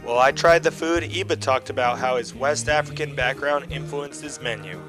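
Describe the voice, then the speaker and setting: A man's voice: mid-range voice, normal speed, loud music behind the voice. A man hosting a food show, moving between scripted narration and casual interview talk.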